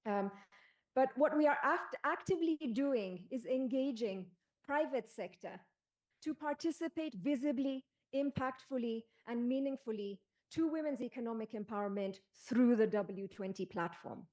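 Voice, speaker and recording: A woman from Kenya with a Kenyan accent, speaking as a panelist and advisor in a formal, measured, emphatic speech. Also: a little high, lively intonation, darkish tone.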